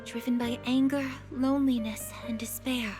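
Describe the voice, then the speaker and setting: Woman voicing a high-pitched, earnest young girl. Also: steady tone, normal speed, close to an everyday voice. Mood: resolute, emotional. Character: sweet, earnest, loyal young girl soldier. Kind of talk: anime-style game cutscene acting. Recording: music behind the voice.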